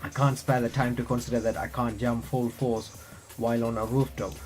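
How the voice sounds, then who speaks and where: A man does a let's-play, reading game text out loud in a halting, mid-pitched voice and dropping in casual asides. He stumbles over phrases and rereads them, with some game music playing behind him. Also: little pitch movement. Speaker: let's-play video creator reading a visual novel aloud. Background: visual novel music.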